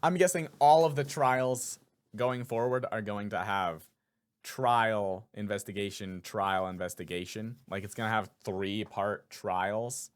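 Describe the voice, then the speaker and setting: A man's voice: lowish voice, unhurried, smooth. A man hosting a video game playthrough with casual, playful commentary and a joking, self-promoting manner. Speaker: YouTube host and voice actor.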